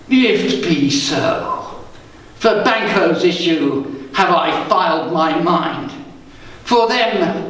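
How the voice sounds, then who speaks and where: A man performs as a guilty, scheming king in a Shakespearean tragedy on stage. His voice sits high and he declaims slowly, with bitter, brooding weight. The sound is distant, swimming in heavy room echo. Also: fairly bright tone, heavily acted. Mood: bitter, anguished. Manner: slow, declamatory, theatrical. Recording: echoey room, distant microphone.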